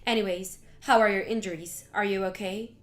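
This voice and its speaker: A woman's voice: mid-range voice, steady tone, brisk, lightly acted. A woman voicing a spiteful older mother-in-law who talks in a sneering, scolding way. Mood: stiffly apologetic.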